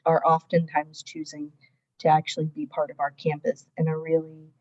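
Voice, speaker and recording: A woman with a low voice reflects on her work in a thoughtful, halting way, often restarting her words. The sound is dull and muffled, like lo-fi video call audio. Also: completely flat delivery, mellow. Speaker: webinar panelist. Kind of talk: professional reflection on a panel.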